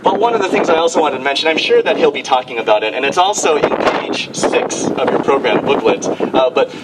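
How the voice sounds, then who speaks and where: A man in his thirties giving an informal award introduction from a windy outdoor stage, speaking haltingly. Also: on the high side, lively intonation, recorded from a distance. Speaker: master of ceremonies. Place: outdoor stage in strong wind. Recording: windy outdoor stage mic, loud wind noise.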